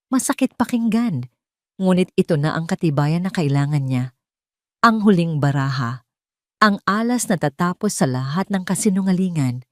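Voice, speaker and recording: Filipino woman storyteller, lower-pitched voice, dry close sound, dramatic scripted narration. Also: a little mellow, no room sound.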